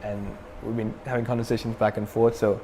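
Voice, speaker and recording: Young man with a low voice, echoey room. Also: a little fast, a little hiss or hum. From Sri Lanka. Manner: relaxed, many fillers and restarts. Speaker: metal band frontman, interview guest. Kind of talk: casual interview.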